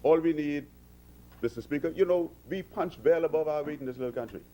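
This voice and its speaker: A man's voice: on the high side, a little fast, mellow, poor audio. A man from the Bahamas with a Bahamian accent, speaking as a minister in parliament, earnest and oratorical, with frequent restarts. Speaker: government minister addressing parliament. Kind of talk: parliamentary speech. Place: legislative chamber.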